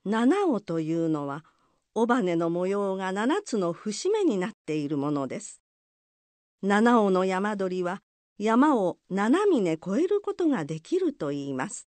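A woman narrating an old folk legend in a formal, polite and expressive storytelling manner. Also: medium pitch, unhurried, no room sound.

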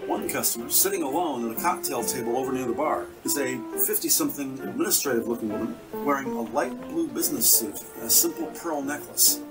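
A man narrates a scripted detective story in the present tense. His voice is mid-pitched and sits rather far from the mic, with guitar music clearly behind it. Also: fast, hardly any pauses.

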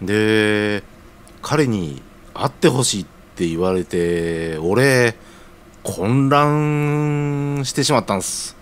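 A man's voice: lowish voice, lively intonation, drawn-out words, clear, resonant tone. An actor playing an earnest, deferential young man, talking in casual junior-style polite speech in an audio drama. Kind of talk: scripted audio drama acting.

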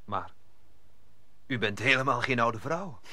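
A man's voice: medium pitch, animated, measured pace, bright, forceful tone, no echo, full character voice. A man playing a gallant, earnest young musketeer in a radio play, declaiming his lines. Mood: earnest, courteous.

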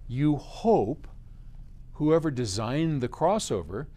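A man's voice: medium pitch, a little mellow. An American man in his seventies giving a casual explanation to camera in an informal, emphatic, conversational way. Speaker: company chief answering viewer questions. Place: large open office lobby, indoors.

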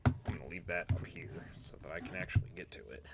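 A man's voice: low-pitched, steady tone, fast talker, gravelly, very noisy. A man giving casual, swear-prone gameplay commentary as the host of a let's play. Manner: profane, exasperated when struggling. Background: video game music.

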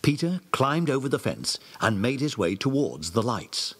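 Man narrating a kids storybook, husky, voice swings up and down a lot. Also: medium pitch, big pitch swings, normal speed. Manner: clear, storytelling.

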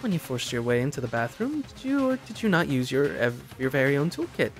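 A man's voice: medium pitch, very animated, soft background music. A man voicing a cool, refined teenage girl in a courtroom video game, formal and accusing. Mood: coolly accusing. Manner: formal, probing, deliberate.

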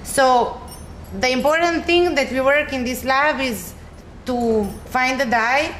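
A woman's voice: sits high, slow pace, fairly bright tone. A young woman from Serbia with a Serbian accent gives an informal, explanatory science presentation, speaking a little hesitantly and simply as a researcher. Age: young adult. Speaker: chemistry researcher, recent graduate. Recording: echoey room with faint noise.

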